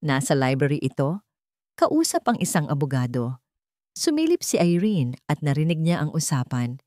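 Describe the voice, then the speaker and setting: A Filipino woman narrating a scripted romantic drama in a measured, melodramatic storytelling manner. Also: sits low, sing-song, mellow, no room sound, clean studio sound.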